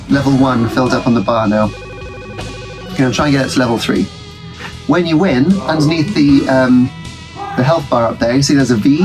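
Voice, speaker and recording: A man hosts his retro gaming channel, chatting his way through a match at a mid-range pitch. He sounds bunged up from a cold and rambles with ums and restarts. Game music plays clearly behind his talk. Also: rough recording. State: congested from a cold. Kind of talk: casual let's-play commentary.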